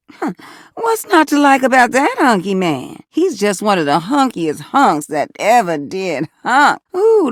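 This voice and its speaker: A flirty, motherly water heater from a video game, with a folksy Southern drawl. Her voice is low and gravelly, swinging freely up and down as she teases and dotes. The sound is close and dry. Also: no room sound. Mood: smitten, flirty. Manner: teasing, folksy, full of endearments. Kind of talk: video game character dialogue.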